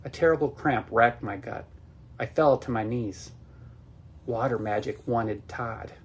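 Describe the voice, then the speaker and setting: A man's voice: medium pitch, measured pace, slight background noise. A man reading a scripted first-person story as an audiobook narrator.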